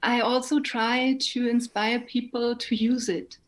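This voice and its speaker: A woman's voice: a little high, level tone, a little slow. A woman with a German accent, a native German speaker, answering as an interview guest in a reflective way, carefully searching for her words. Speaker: interview guest, singer and writer. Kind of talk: thoughtful interview conversation.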